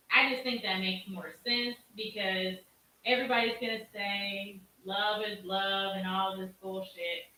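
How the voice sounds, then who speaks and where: A woman with a big, bright voice states her opinions bluntly and keeps tagging on "you know what I'm saying." She is off camera, well away from the mic. Her voice comes through roomy and distant, over a faint hiss. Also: mid-range voice. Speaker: guest in a stream. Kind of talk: casual opinionated talk.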